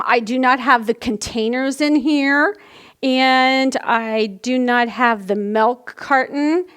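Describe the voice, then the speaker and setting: High voiced lady doing kids storytime crafts, takes it real slow, explains every step simply. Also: clean tone. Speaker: children's library storytime host. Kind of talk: craft instructions for young children.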